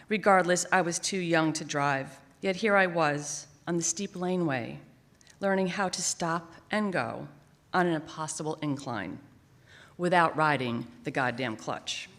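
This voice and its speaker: A woman writer reading a personal essay aloud at a live event, expressive and emphatic. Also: fairly low pitch. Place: theater stage at a library, live event. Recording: echoing theater with long reverb.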